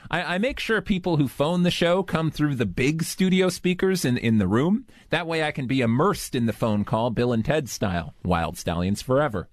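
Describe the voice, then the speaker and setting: A man's voice: fairly high pitch, lively intonation, moderate pace, hardly any pauses, dry recording. A man hosting a radio show in a studio, bantering with a caller and cracking self-mocking jokes. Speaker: radio host.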